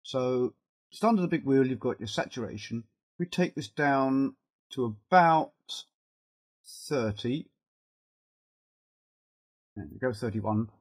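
A middle-aged man with a cold and a fading, husky voice, talking haltingly through an informal step-by-step software tutorial and thinking aloud as he works. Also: medium pitch, expressive. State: has a cold, losing his voice. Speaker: video editing tutorial presenter.

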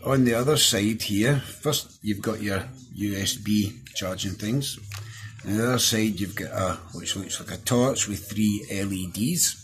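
A man's voice: lowish voice, unhurried. A middle-aged Scottish man giving a casual, chatty, down-to-earth hands-on product review. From Scotland. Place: small indoor room at a desk.

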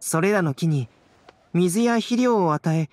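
An actor playing a calm young male alchemist scholar in an anime game, measured, wry and softly teasing. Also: sits high, no echo. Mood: calm, explaining. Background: game music.

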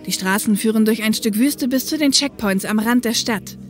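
A woman's voice: on the high side, normal speed, soft background music, lightly acted. A woman playing a young, matter-of-fact helicopter co-pilot and caring older sister in a German audio drama.

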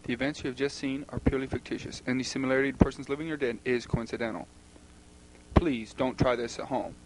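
Flat, quick male credits announcer. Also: mid-range voice. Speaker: narrator of closing credits. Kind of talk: scripted announcement, read aloud. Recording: faint noise and hum behind.

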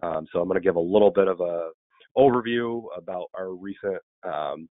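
A man with a sore throat and a raspy, fading voice, presenting a webinar in a halting way with many ums and restarts. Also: sits low, no room sound. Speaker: state weatherization program staff, webinar presenter. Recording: tinny phone-like webinar audio, dry.

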